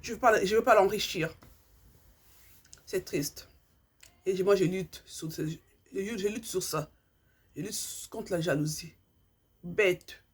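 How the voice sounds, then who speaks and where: Husky-voiced woman. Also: mid-range voice, takes it slowly, pauses often. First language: Lingala. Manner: earnest, repeats herself, hunts for words. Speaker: vlogger. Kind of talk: impassioned monologue to subscribers.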